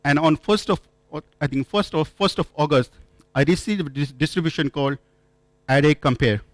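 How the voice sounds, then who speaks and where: A man from India speaks as he tells stories at a conference, often restarting and correcting himself. His voice sits a bit high. The sound is dry, with little room echo. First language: not English. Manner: halting, frequent restarts and self-corrections, storytelling. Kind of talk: conference talk.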